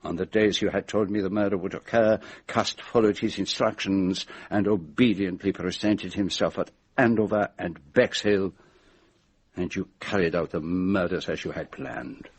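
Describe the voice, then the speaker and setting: Shrewd radio-drama detective: low, husky voice, formal, methodical, accusatory delivery. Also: male voice, steady tone, moderate pace. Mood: methodical, grave. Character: shrewd, methodical male detective laying out his case. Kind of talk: radio mystery drama acting.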